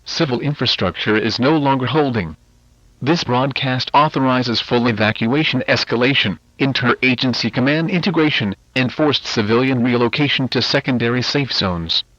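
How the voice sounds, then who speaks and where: A man's voice: medium pitch, moderate pace, a bit bright, no room sound, close to an everyday voice. A man plays a stern government emergency announcer in an analog horror broadcast. His delivery is formal and authoritative, with clipped military phrasing. The sound is dry and somewhat muffled, like a lo-fi transmission. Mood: grave, official. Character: stern official of a government biohazard agency issuing evacuation orders. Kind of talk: scripted emergency alert narration, analog horror.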